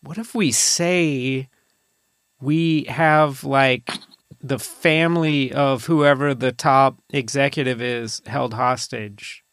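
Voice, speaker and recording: A man's voice: medium pitch, steady tone, slow, fairly bright tone, clear, resonant tone, no echo, studio quality. A man hosting a comedy podcast in casual banter, improvising absurd schemes as he talks. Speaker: podcast host.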